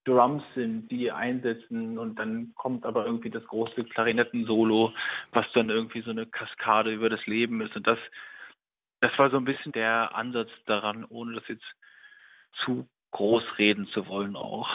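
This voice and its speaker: A man's voice: on the low side, little pitch movement, normal speed. A man being interviewed as a writer, speaking reflectively and hesitantly, searching for his words. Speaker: writer and radio play director interviewed. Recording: muffled phone-like remote line.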